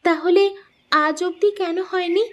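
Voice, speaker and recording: A woman's voice: extremely high pitch, steady tone, takes it slowly, lo-fi sound, a little hiss or hum. A woman playing a curious, earnest young daughter-in-law in a cartoon, with a questioning, concerned manner. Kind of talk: scripted cartoon dialogue.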